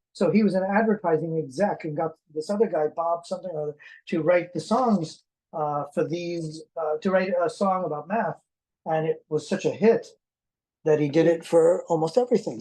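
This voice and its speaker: Mellow, husky voice chatting through an unboxing. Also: medium pitch, poor audio. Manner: excitable exclamations, joking asides. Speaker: film collector and teacher presenting an unboxing. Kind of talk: casual unboxing chat.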